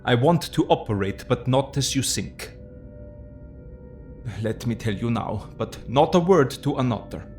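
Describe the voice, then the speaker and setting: A slow, gravelly old professor. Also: male voice, medium pitch. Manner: deliberate, earnest, quaint non-native phrasing. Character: solemn, tender older male foreign professor and surgeon. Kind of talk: dramatic audio drama acting.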